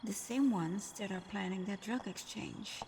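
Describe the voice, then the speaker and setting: A woman's voice: mid-range voice, unhurried, creaky, a little mellow, slight background noise. A woman playing a sly, flirtatious supervillain in a scripted ASMR roleplay, murmuring breathily with teasing hums. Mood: sly, hushed. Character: flirtatious, sly female supervillain teasing her hero nemesis.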